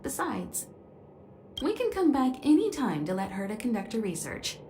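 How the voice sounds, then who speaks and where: A woman voicing a composed, courteous mentor of a traveling crew in a game dub, speaking in a polite, measured way. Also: a little high, big pitch swings, a little mellow, a little hiss or hum. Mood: reassuring. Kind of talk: English game dub voice acting. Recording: echoey, with game music behind.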